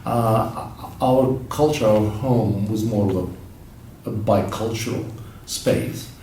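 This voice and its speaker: A man from Morocco speaking reflectively in a documentary interview, halting with many restarts. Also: lowish voice, mellow. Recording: very echoey, distant mic, faint noise.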